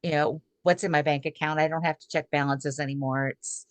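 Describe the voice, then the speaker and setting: A woman in her sixties talks casually on a video call, leaning on "um" fillers. Her voice sits low. The sound is dry and close. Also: steady tone, brisk, no room sound. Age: 60s. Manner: chatty, many "um" fillers. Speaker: guest speaker.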